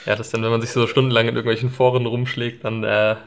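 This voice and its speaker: A man's voice: mid-range voice, flat delivery, fast talker. A young man talking as a podcast guest, thoughtful and hesitant, with many filler ums. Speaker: guest, videographer. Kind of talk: casual interview chat. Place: indoor studio set at a desk.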